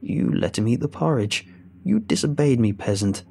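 A man's voice: medium pitch, sing-song, moderate pace, gravelly, warm tone, soft background music. A man voicing a cruel old witch who hoards cats and sneers at her captive. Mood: furious scorn. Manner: hissing, contemptuous, calling people peasant. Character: cruel ancient witch, cat-hoarding hag.

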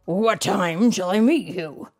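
A woman's voice: lowish voice, slow, a little mellow, soft background music. A woman reading aloud and voicing a grumpy veteran male police sergeant who grumbles curtly. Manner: grumbling, curt.

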